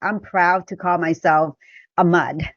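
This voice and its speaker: A woman's voice: fairly low pitch, fairly even intonation, slow pace, no echo, dull audio. A middle-aged woman from Vietnam with a Chinese-Vietnamese accent, a podcast guest telling her story in a talkative, self-correcting way. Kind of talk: personal storytelling in an interview.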